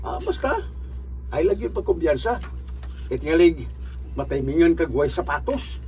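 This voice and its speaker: A man's voice: a little high, big pitch swings, normal speed, a little mellow, rough recording. A man playing a friendly rural driver in a radio drama, folksy and full of cautioning advice. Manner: folksy, advising.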